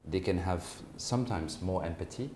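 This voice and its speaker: A man in his forties from France with a French accent, giving measured, hesitant interview answers as a company managing partner. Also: low-pitched, darkish tone. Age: 40s. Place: office, indoors. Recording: roomy echo, faint background noise.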